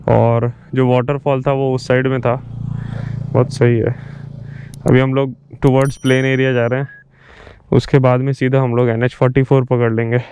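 A man's voice: medium pitch, mellow, smooth, dry recording. A man with an Indian accent gives casual motovlog commentary, switching between Hindi and English. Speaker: motorcycle vlogger. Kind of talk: casual ride vlog commentary. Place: outdoors, riding a motorcycle. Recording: noisy helmet-mic recording, engine and wind. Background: vehicle and road noise.

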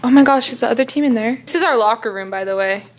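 A woman's voice: fairly high pitch, fast, background noise. A young woman with a youthful voice chatting casually and in slang into a handheld camera for a vlog. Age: young adult. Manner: informal, slangy. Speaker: vlogger. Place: bedroom, later a school classroom. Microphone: handheld camera at arm's length.

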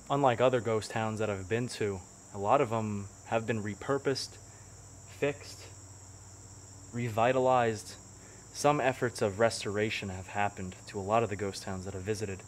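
A young man filming himself as he explores a ruined town, narrating what he finds in a curious, hushed way. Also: fairly low pitch, steady tone, normal speed, slight background noise. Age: young adult. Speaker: urban explorer vlogger. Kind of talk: walking exploration commentary. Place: outdoors and inside ruined buildings. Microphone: handheld camera held at arm's length.

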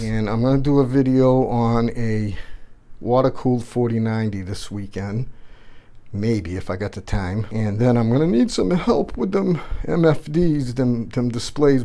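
Casual mellow-voiced man filming his build. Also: medium pitch, moderate pace. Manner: casual, rambling, slangy. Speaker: tech and gaming channel host. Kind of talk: casual build walkthrough vlog. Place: home gaming room, indoors. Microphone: handheld phone camera.

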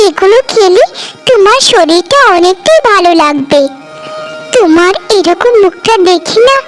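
A woman voicing a kind, caring young rich village wife in a cartoon, gentle and attentive. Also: very high-pitched. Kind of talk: cartoon voice acting.

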